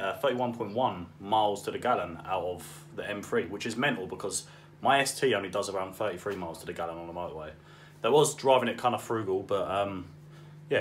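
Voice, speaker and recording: A young man with a blocked-up cold voice chats easily into his phone, laughing now and then. Recorded on a phone outdoors, with a faint hiss of noise behind. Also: on the low side. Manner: chatty, laughs often, gets excited. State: bunged up with a cold. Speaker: vlogger. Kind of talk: casual car vlog chat. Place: outdoors at a car meet. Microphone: phone camera.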